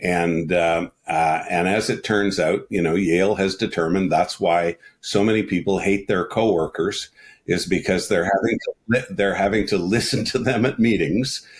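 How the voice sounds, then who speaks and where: Very low-voiced man, blunt panel guest. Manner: blunt, wry. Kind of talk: casual panel discussion.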